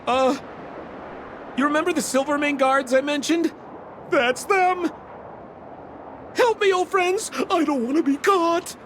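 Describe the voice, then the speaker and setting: High-pitched, glib game con man. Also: unhurried, long pauses, a bit bright, slight background noise. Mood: panicked, pleading. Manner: glib, dramatic. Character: shifty, chatty male con-man, theatrical and wheedling. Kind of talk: video game voice acting.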